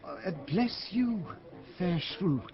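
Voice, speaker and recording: Silly, vain, timid knight for Shakespearean radio comedy; hesitant, earnest, up-and-down delivery over music. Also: male voice, sits high, animated, extremely slow, gravelly, highs cut off, full character voice. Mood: bewildered, awkward. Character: foolish, timid, vain knight, easily led. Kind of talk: Shakespearean radio drama acting.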